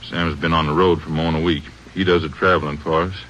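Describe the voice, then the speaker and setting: An American actor playing a weary, folksy small-town feed merchant in an old radio drama, talking plainly and a little hesitantly. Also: male voice, very deep voice, steady tone, quick pace, fairly bright tone. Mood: folksy, uneasy. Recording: muffled old radio recording, faint noise.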